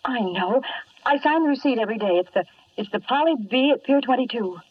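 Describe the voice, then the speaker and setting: An American actress playing an anxious but plucky young woman, fretful and expressive, in a 1950s radio drama. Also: fairly high pitch, a little fast. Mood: worried, determined. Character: anxious, plucky young working woman, the hero's loyal date. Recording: dull, distant 1950s radio recording.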